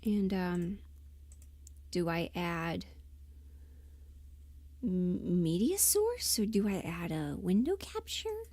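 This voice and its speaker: A woman's voice: fairly low pitch, big pitch swings, slow, pauses often, mellow. A woman chatting casually on a livestream, informal and rambling, muttering asides to herself as she thinks aloud. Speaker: livestream host and amateur voice performer.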